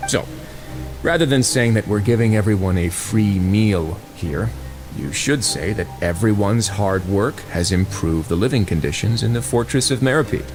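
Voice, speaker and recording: An actor playing a composed, mysterious prison duke in a video game, speaking in a polite, deliberate, authoritative way over music. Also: male voice, fairly low pitch, close to an everyday voice. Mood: measured, reasoning. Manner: measured, polite, smoothly deliberate. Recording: music behind the voice.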